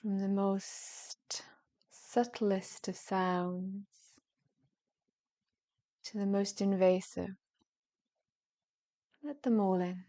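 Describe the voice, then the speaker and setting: A woman speaks very slowly in a dark, mellow tone as she guides a deep relaxation. Her delivery is gentle and soothing, with soft, breathy phrase endings. She leaves long pauses between short phrases. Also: fairly even intonation. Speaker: yoga nidra meditation guide.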